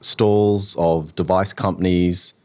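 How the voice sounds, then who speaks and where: Deep-voiced laughing co-host. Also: male voice, fairly even intonation, slow, darkish tone, dry recording. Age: 40s. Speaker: podcast co-host. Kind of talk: casual podcast chat.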